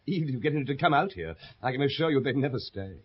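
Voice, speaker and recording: An actor playing an insolent, bitter young English gentleman in a radio drama, pointed and mocking. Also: medium pitch, lively intonation, fast talker, slightly rough. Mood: bitter, defiant. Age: young adult. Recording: dull 1970s radio drama recording.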